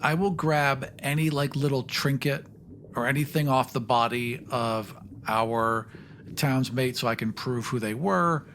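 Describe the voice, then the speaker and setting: A man with a medium-pitched voice plays a tabletop game. He describes his character's actions casually, with faint noise in the background. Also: unhurried, a bit bright.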